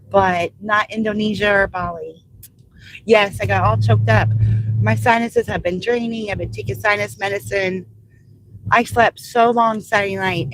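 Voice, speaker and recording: A woman's voice: mid-range voice, poor audio. An older American woman with a Kentuckiana lilt talks casually from her car. She rambles from thought to thought and answers questions as they come in. Her voice is a little stuffy from allergies, and faint road noise sits behind her. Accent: Southern Indiana / Louisville area. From United States. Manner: chatty, rambling, reads comments aloud and answers them. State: congested from allergies, coughing. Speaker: vlogger driving deliveries, reading live chat. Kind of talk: casual live-stream chat while driving.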